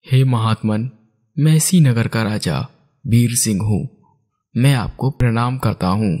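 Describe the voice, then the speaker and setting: A man's voice: mid-range voice, unhurried, dark tone, natural voice. A man playing an ageing, courteous and anxious king in a cartoon moral story, speaking formally. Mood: courteous, composed. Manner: formal, stately storybook delivery. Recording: music under the voice.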